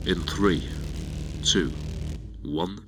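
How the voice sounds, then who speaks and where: A man's voice: deep voice, extremely slow, heavy background noise. A man playing a cocky, wry space pilot with a sense of wonder in a scripted audio drama. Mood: focused, awed. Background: music under the voice.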